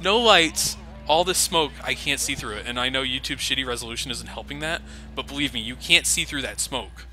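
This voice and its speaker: A man's voice: medium pitch, a little fast, sharp tone. A man giving casual, sarcastic commentary over a video game, joking about its flaws. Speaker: let's play commentator.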